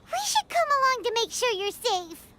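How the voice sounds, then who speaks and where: A woman voicing a tiny, childlike fairy companion in an anime game, squeaky, eager and exclamatory. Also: big pitch swings, moderate pace, bright, forceful tone, slight background noise, exaggerated voice.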